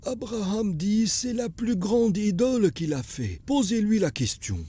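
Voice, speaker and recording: A solemn cartoon narrator, voiced by a woman, relaying a prophet's words. The delivery is measured and unhurried, with a soft-edged, husky tone. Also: medium pitch, very animated.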